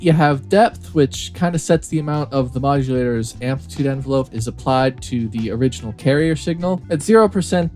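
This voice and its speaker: Male tutorial presenter, mid-pitched, casual and explanatory, walking through controls step by step, music in the background. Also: mellow. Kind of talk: explanatory software tutorial narration.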